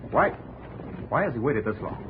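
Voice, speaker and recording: An actor playing a wary, terse crook being squeezed for money in a 1950s radio drama. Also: male voice, mid-range voice, big pitch swings, normal speed, slight background noise, lightly acted. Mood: wary, grudging. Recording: dull old radio recording.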